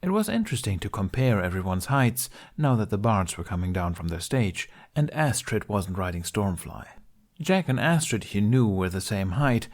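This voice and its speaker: A man's voice: on the low side, very animated, normal speed. A man reading a fantasy story aloud as scripted narration. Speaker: podfic narrator.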